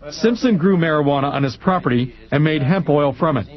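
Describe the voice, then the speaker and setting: A man delivers a TV news report in a measured, crisp voice pitched a little high. The broadcast sound is muffled.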